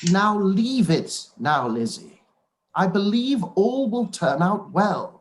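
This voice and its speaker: An actor playing a wry, indulgent older father in a live-read radio play, dry and gently mocking. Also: high voice, big pitch swings, takes it slowly, full character voice. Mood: soothing.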